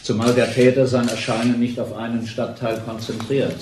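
An actor playing a formal, measured police spokesman in a radio crime drama. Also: medium pitch, flat delivery, lightly acted. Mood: sober, official. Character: police spokesman, sober and official. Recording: roomy sound with clear noise.

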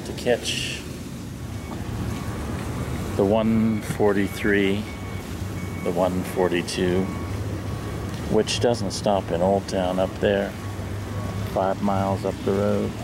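An older man in his sixties talks in a slow, halting way, in short phrases with a fairly low voice. He is narrating a casual travel vlog into a handheld camera outdoors, with very loud background noise. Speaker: train travel vlogger. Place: outdoors at railway station and train yard. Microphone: handheld camera at arm's length. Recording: noisy outdoor camcorder with traffic and trains.

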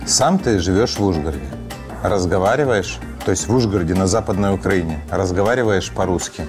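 A male travel show host in his thirties asks a guest questions in a curious, casual way. His voice sits fairly low. Clear background music runs under the conversation. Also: brisk. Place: cozy indoor room full of wall clocks, seated at a table.

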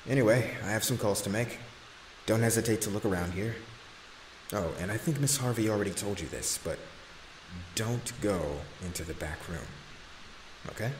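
A devoted, anxious right-hand man, acted by a male performer in an audio drama. His low voice is earnest and deferential, recorded with a roomy echo and faint background noise. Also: moderate pace, pauses often, close to an everyday voice. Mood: earnest, confiding. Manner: earnest, respectful. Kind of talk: audio roleplay acting.

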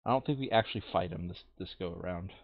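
A man's voice: deep voice, quick pace, vocal fry, dry recording, phone-quality sound. A man running a board game playthrough in casual, crude, joking chat, now and then reading game text aloud. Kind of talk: casual gaming chat with bits of read-aloud flavour text.

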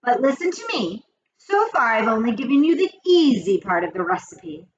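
An imperious witch queen from a storybook, cruel and boastful. The voice sits high and swoops dramatically, stretching words with grand, venomous command. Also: very animated, muffled recording. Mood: boastful, instructing. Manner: commanding, grandiose, drawn-out emphatic delivery. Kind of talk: storybook character voice.

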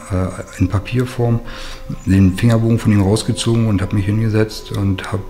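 A male forensic expert recalls his work in an interview. His voice is low and mellow, with faint music behind it. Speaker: police forensic fingerprint expert. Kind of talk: interview recollection. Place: indoor filmed interview.

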